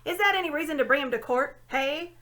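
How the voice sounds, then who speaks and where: Storybook dwarf: old, male, deaf, cranky, loud and barking; a woman reads him. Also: high-pitched, fast, pitched above the performer's own voice. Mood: irritable. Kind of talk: audiobook reading.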